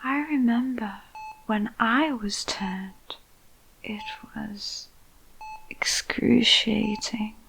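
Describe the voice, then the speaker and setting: A woman playing a clinical female vampire scientist in an ASMR roleplay, with a whispery, breathy voice and deliberate delivery. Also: on the high side, fairly bright tone, slight background noise, heavily acted, rough recording. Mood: hushed, enticing. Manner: slow, soothing, deliberate. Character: calm, clinical female vampire scientist overseeing a sinister experiment.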